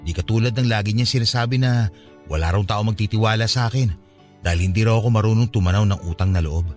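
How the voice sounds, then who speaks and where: A man's voice: lowish voice, quick pace, natural voice. An actor playing an earnest, hurt son who longs for his father's love in a Filipino radio drama. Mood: earnest, reassuring. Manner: polite, earnest, pleading. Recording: music behind the voice.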